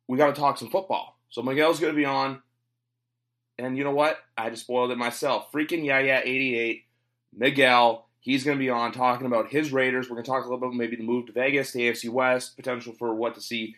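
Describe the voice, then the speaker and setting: A man's voice: mid-range voice, fairly even intonation, a little fast, a bit bright. A man hosting a casual sports podcast, talking with a cold and rambling through lots of fillers. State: has a cold. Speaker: podcast host. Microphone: home recording, guest on internet call.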